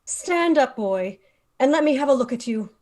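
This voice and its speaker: A woman playing the brisk, imperious leader of a magical order in a fantasy table read. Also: high voice, animated, darkish tone.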